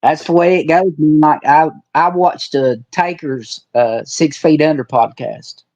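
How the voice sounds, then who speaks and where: Higher-voiced folksy man in his forties. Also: a little slow, darkish tone, dry recording, muffled recording. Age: 40s. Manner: folksy storyteller with colloquial rural phrasing. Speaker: retired pro wrestler, podcast guest. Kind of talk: casual reminiscing chat.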